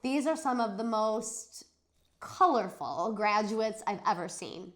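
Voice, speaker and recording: Lady playing a kind storybook kindergarten teacher, composed and measured but with lots of intonation. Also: mid-range voice, animated, a bit bright. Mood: proud, formal.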